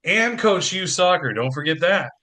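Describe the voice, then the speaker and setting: A man's voice: high voice, expressive, normal speed, pushed and bright. A man acting in an audio play as a harried, wry, affectionate businessman father with dry sarcasm. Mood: wry, busy.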